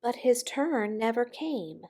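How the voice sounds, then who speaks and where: A woman reading a children's picture book aloud expressively, with dramatic pauses and emphasis on key words. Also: a little high, big pitch swings, warm tone. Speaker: storybook reader.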